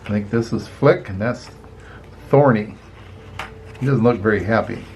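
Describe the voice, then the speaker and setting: An older man reading a children's storybook aloud in an expressive, unhurried way, adding chatty little asides. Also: on the low side, highs cut off, slight background noise. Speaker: grandparent reading picture books aloud.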